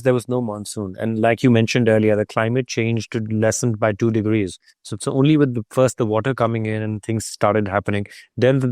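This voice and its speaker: A man's voice: on the low side, darkish tone, clear, resonant tone, no room sound, studio quality. A man co-presenting an online talk, explaining informally in an enthusiastic, discursive way over a video call. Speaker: guest co-presenter and trip guide.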